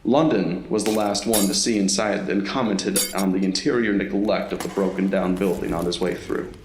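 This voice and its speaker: A man's voice: sits low, steady tone, normal speed, noisy background. A man reading scripted narration for an audio drama story. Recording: roomy, echoing voice recording.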